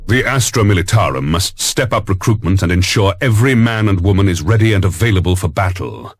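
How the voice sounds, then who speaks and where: An actor playing a resolute lord admiral in a game cutscene, giving formal, measured, authoritative military briefings. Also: male voice, low voice, expressive, husky, no room sound. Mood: stern command. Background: faint music.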